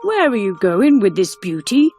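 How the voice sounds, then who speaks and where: A woman's voice: mid-range voice, very animated, normal speed, darkish tone, soft background music, natural voice. A woman voicing a gallant, devoted young prince in a fairy tale. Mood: courteous. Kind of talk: storybook character reading.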